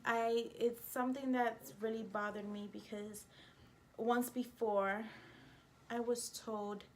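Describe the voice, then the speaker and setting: A vlogger with a New York accent speaks straight to her camera, emphatic and openly indignant, her voice sitting a little on the high side. Her delivery is casual and expressive. A faint hiss of background noise runs underneath. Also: slow. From United States.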